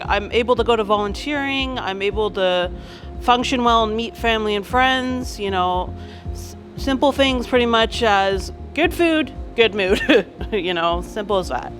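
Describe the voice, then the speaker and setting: A woman's voice: fairly high pitch, lively intonation, a little slow, fairly bright tone, faint music behind. A woman giving a casual, informal personal account in a documentary interview. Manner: informal, conversational.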